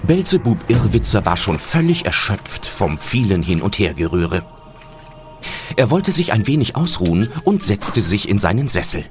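A man's voice: on the low side, sing-song, moderate pace, over music. A man narrating a children's audio drama as a scripted storyteller.

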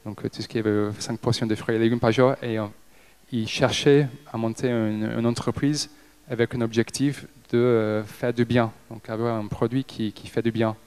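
A man in his thirties from Liverpool with an English accent, a native English speaker giving a talk on stage, speaking haltingly with many hesitations. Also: medium pitch, a little mellow, smooth. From Liverpool, England. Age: 30s. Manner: halting, frequent hesitations and restarts. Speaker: company director giving a talk. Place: stage in an event hall with a seated audience. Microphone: handheld microphone.

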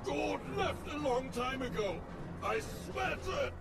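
An actor playing a savage captured raider in a video game, defiant, strained and in pain. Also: male voice, extremely high pitch, expressive, measured pace, distant mic, loud music behind the voice. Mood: desperate. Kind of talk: video game cutscene acting.

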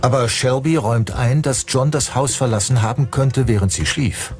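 A man's voice: mid-range voice, moderate pace. A man reading a scripted true-crime documentary narration. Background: music behind the voice.

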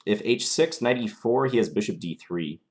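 Low-voiced man muttering chess moves. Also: lively intonation, normal speed, darkish tone. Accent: mild Minnesota. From Minnesota. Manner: thinking aloud, muttering moves. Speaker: chess streamer. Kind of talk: live chess commentary while playing. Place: small indoor room at home.

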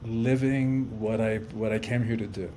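Low, mellow-voiced man in his fifties. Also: fairly even intonation, moderate pace, a little hiss or hum. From Cuba. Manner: earnest, reflective, repeats words as he thinks. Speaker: podcast guest, life coach and author. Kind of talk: casual interview conversation. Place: indoor room at home. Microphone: video call.

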